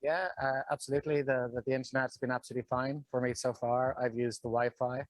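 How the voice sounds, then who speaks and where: A man's voice: medium pitch, level tone, fast talker, no echo, faint music behind, low-quality recording. A man from Ireland with an Irish accent, giving a casual testimonial in short, hesitant phrases. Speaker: digital nomad giving a testimonial.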